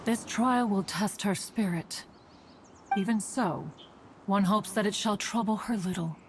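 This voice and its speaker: A woman's voice: mid-range voice, measured pace, noisy background, natural voice. A woman voicing a dignified, aloof ancient immortal spirit in a video game, speaking in stately, archaic, formal phrases. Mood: grave concern.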